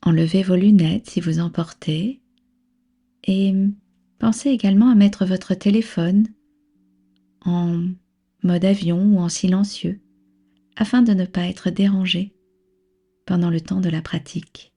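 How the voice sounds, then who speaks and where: A woman with a soft, breathy voice leading a guided relaxation as a yoga nidra instructor, soothing, unhurried and gently instructing. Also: on the low side, steady tone. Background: background music.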